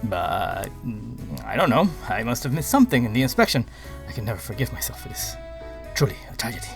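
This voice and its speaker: A man's voice: medium pitch, very animated, fairly quick, vocal fry. A male cruise-ship activities director acted by a man, in a comic audio play. He is long-winded and officious, rattling through details at speed over faint music. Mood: regretful. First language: Spanish. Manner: rambling, rattles off long lists. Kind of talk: comic audio drama acting. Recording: music playing behind the voice.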